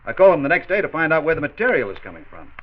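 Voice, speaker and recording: An actor playing a loyal, deferential plant supervisor in a 1940s radio drama, earnest and apologetic. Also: male voice, fairly high pitch, big pitch swings, rapid-fire. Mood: earnest, explaining. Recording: muffled 1940s radio transcription.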